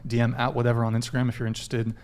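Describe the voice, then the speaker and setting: A man hosting a casual dating podcast, reading shout-outs and joking between plugs. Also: medium pitch, monotone, very fast. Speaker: podcast host.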